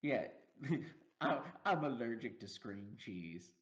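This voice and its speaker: Co-host, male, lively pitch, chatty movie rundown, slightly dull audio. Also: slow pace. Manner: animated, laughs often, acts out quotes. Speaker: podcast co-host. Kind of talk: casual movie review chat.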